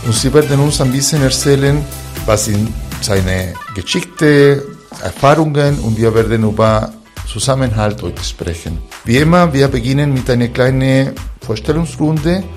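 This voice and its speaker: Male podcast host; careful, slightly halting phrasing, faint music under him. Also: mid-range voice. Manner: slow, careful phrasing with grammar slips. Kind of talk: hosting a podcast.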